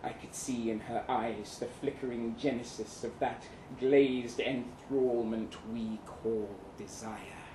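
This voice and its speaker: An actor playing a boastful, lecherous Russian soldier in a florid, theatrical live story reading, savouring each phrase. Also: male voice, mid-range voice. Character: boastful, lecherous crippled Russian soldier advising lovelorn friend. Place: indoor live reading event in London venue. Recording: roomy live venue, background noise.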